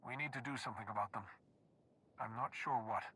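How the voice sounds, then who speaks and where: Casting: earnest game sage hero, forward bright voice, economical and self-possessed. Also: male voice, mid-range voice, a little fast, slightly rough. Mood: assured. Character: calm, resolute male heroic sage in a space saga. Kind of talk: video game cutscene acting.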